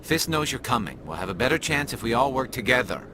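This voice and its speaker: A man's voice: mid-range voice, sing-song, fast, background noise, close to an everyday voice. A man playing a determined, idealistic alien police investigator in a video game, earnest and intense. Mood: businesslike.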